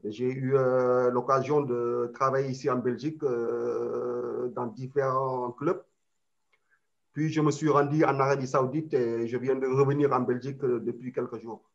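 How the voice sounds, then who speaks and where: Middle-aged coach from senegal, mid-pitched, dull webcam sound. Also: male voice, little pitch movement, measured pace. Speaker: football coach. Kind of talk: video call self-introduction. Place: indoor room with plants. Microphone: webcam on video call.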